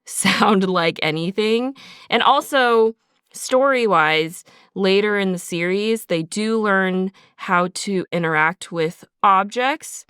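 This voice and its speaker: A woman's voice: lowish voice, fairly bright tone, clean tone, dry recording, studio quality. A woman podcast host talking as a sound designer, explaining methodically and conversationally with examples. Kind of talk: behind-the-scenes podcast discussion, explanatory.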